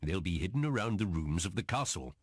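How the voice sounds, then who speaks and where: A man playing a court magician who guides a quest as an expressive storyteller in a video game, on noisy old audio. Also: low-pitched, fairly quick. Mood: storytelling. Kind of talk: video game cutscene narration.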